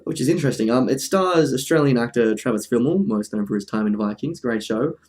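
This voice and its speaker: A man's voice: medium pitch, lively intonation, brisk, a little mellow. A man hosting a casual video essay, with a dry, jokey manner and sentences he often breaks off and restarts. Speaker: video host.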